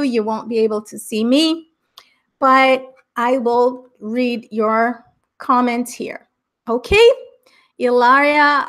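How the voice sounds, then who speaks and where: A woman's voice: on the high side, vocal fry, fairly bright tone. A middle-aged Brazilian woman with an Americanized Brazilian accent, an upbeat, chatty English exam teacher giving a live online class and laughing often. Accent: Brazilian Portuguese, Americanized English. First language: Portuguese.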